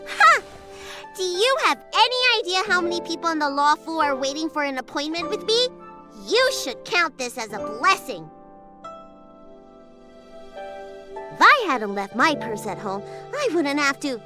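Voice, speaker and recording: A woman's voice: sits high, big pitch swings, measured pace, long pauses, music in the background. A woman voicing a small, proud, bossy young girl doctor in a video game, speaking in an emphatic, haughty way. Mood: indignant, haughty. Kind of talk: video game character acting.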